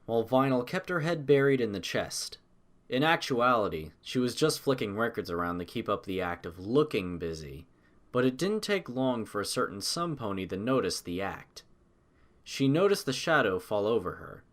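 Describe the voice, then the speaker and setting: A man's voice: medium pitch, sing-song, moderate pace, a bit bright. A man giving a scripted narration of a story as an audiobook narrator.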